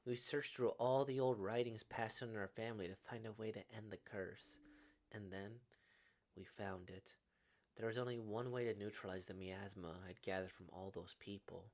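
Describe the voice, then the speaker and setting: A woman's voice: extremely low pitch, steady tone, moderate pace, a little mellow, pitched below the performer's own voice. A woman voicing a tragic, self-sacrificing young cursed woman in a dramatic visual novel read-aloud, with a strained, pleading manner. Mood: solemn, resigned.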